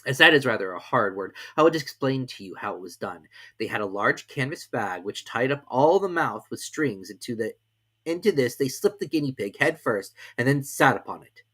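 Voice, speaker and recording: A woman narrating a children's storybook with expressive delivery. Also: a bass voice, normal speed, husky.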